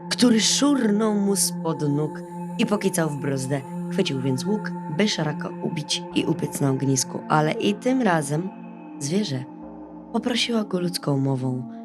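Kobieta opowiadająca bajkę, muzyka w tle. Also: female voice, low voice, animated, a little slow. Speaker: storyteller. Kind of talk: expressive bedtime fairy-tale narration. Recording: music under the voice.

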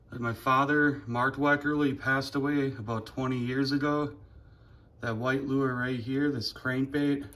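A man, casually showing off his living room decor on a phone camera in a plainspoken, relaxed way. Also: medium pitch. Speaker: fishing channel host. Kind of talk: casual show-and-tell vlog. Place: living room at home, indoors. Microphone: handheld phone camera.

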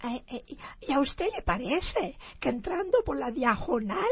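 A woman's voice: high voice, very animated, measured pace, slightly rough, no echo, muffled recording, heavily acted. A woman playing a scandalized yet tempted immigrant wife in a comedic radio play, exclaiming and fussing. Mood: scandalized, hesitant. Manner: exclamatory, fussy. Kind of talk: comedic radio theatre acting.

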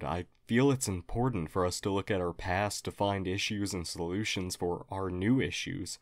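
A man's voice: low-pitched, no room sound, lightly acted. A man playing a friendly alien historian, formal, earnest and hesitantly apologetic, in an audio roleplay. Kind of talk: audio roleplay acting, addressed to listener.

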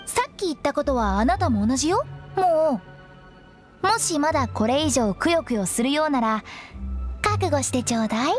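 A woman's voice: sits high, lively intonation, moderate pace. A woman voicing a playful, cryptic young female funeral director in a Japanese anime game, with a teasing, theatrical delivery. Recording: studio voice over background music.

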